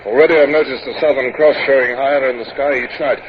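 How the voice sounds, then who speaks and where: An actor playing a reserved, courteous middle-aged naval captain, formal and a little hesitant, in an old radio drama. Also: male voice, medium pitch, fairly even intonation, fast, close to an everyday voice. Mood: brisk, courteous. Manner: formal, hesitant when speaking personally. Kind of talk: old-time radio drama acting and narration. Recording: muffled, noisy 1950s radio recording.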